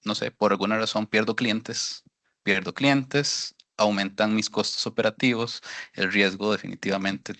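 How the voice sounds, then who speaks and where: Hesitant low-voiced man from Costa Rica. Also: fairly even intonation, normal speed, bright, forceful tone. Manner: hesitant, restarts, searching for words. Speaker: workshop participant. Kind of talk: audience question.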